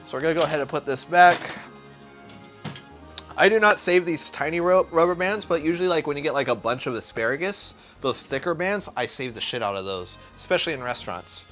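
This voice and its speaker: A man's voice: on the high side, lively intonation, brisk, fairly bright tone, soft background music. A man who is a chef, cooking on a live stream and chatting with viewers in a crude, joking, profane way. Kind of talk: casual livestream cooking chat.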